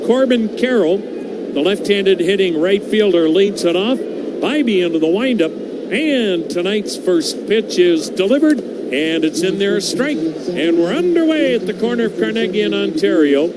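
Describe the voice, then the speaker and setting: A man with an American accent calling baseball play-by-play on radio, in folksy, measured phrases. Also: high-pitched, animated. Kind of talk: live radio sports commentary. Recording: noisy ballpark ambience behind.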